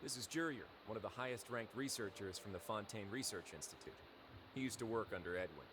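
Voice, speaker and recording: An actor playing a calm, wry duke in a video game, speaking in a measured, composed way. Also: male voice, fairly low pitch, background noise, close to an everyday voice. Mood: assured. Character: calm, wry male duke ruling an underwater prison fortress. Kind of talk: scripted video game dialogue and storytelling.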